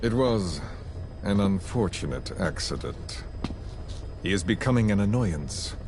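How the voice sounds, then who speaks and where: A man playing a cold, calculating video game villain who interrogates with measured, theatrical, falsely polite menace. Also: lowish voice, lively intonation, noisy background. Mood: measured, sinister. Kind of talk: dramatic video game voice acting.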